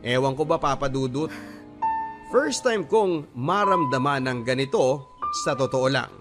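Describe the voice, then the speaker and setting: A man's voice: mid-range voice, animated, measured pace. A man narrating a love letter in a dramatic, classic radio storytelling style. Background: music.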